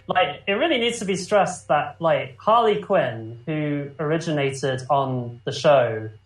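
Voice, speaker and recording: A man co-hosting a podcast, chatting with enthusiasm and quick asides, often restarting his sentences. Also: fairly high pitch, lively intonation. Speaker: podcast co-host. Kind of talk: casual podcast chat. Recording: roomy room sound.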